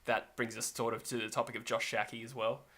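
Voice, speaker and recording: A man's voice: medium pitch, flat delivery, brisk, pushed and bright. A man co-hosting a sports podcast, talking in a rambling, self-correcting way and laughing easily. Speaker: podcast co-host. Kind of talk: casual sports chat.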